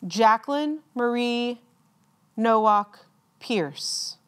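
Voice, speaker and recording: Very slow-paced woman announcing names formally. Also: a little high, animated, a bit bright, clean tone. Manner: measured, deliberate enunciation with pauses. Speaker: commencement announcer reading graduates' names. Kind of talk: formal ceremonial reading of names.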